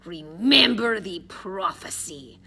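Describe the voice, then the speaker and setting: A woman voicing a huge, slow-witted gangster with a blunt, heavy, menacing delivery. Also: mid-range voice, lively intonation, bright, forceful tone, husky, heavily acted. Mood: ominous.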